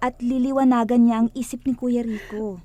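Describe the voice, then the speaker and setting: A woman's voice: a little high, steady tone, normal speed, dark tone, dry recording, close to an everyday voice. An actress playing a devout, hopeful woman who speaks earnestly and reassuringly in a religious radio drama. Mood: earnest, comforting.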